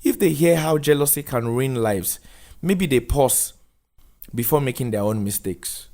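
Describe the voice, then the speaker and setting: A man voicing a courteous but firm female social worker in her fifties, measured and admonishing, in a drama. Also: mid-range voice, expressive, warm tone, voice pushed up.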